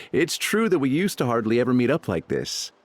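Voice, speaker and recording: Affable, expressive game chieftain. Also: male voice, mid-range voice, moderate pace, a little hiss or hum. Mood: amused, relaxed. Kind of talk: video game character acting.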